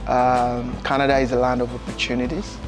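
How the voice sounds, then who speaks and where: A young Nigerian man whose first language is Yoruba, a guest in a casual interview, relaxed and often adding "you know" and "um", seated in a bright lounge. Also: medium pitch, fairly quick, music in the background. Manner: relaxed, gestures with hands, fills with "you know" and "um". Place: bright lounge with sofas, indoors.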